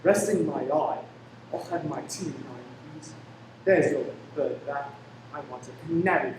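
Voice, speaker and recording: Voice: medium pitch, a little slow, natural voice. A performer in a radio play acting a grumbling, unsatisfied shop customer with a peevish, clipped manner. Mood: disgruntled. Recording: very echoey, distant, clearly noisy.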